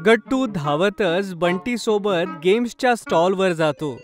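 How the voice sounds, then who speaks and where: A man's voice: high voice, animated, unhurried, soft background music. A man narrating a scripted children's story.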